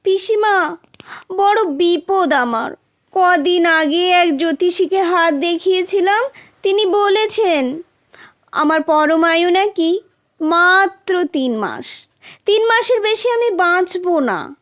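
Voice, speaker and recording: Wily courtier from a folktale, performed by a woman: flattering, falsely humble, unhurried delivery, very high pitch. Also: no echo, clean studio sound, full character voice. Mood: mock sorrowful. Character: wily male trickster courtier, feigning humble piety.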